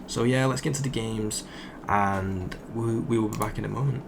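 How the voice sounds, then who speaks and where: A young man, croaky from just waking up, rambling casually as he commentates a card game he is playing. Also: lowish voice, normal speed, background noise. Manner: rambling, thinks aloud with fillers. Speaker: gaming channel host.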